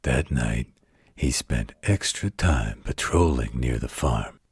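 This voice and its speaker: Man playing very deep, husky werewolf protector. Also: expressive, no room sound, clean studio sound, exaggerated voice. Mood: folksy storytelling. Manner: folksy, unhurried, gently wry. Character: gruff, protective male werewolf, tender bedtime storyteller. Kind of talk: intimate bedtime-story roleplay.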